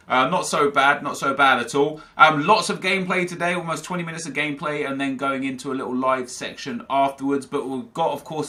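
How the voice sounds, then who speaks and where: A young man, talking casually to viewers about a football video game and reading their comments, energetic and opinionated. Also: medium pitch. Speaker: gaming YouTuber.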